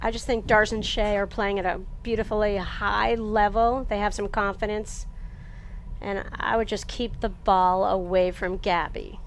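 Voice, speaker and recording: A woman's voice: medium pitch, fairly even intonation, measured pace, a little hiss or hum. A woman giving confident, analytical color commentary on a tennis match. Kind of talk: sports commentary.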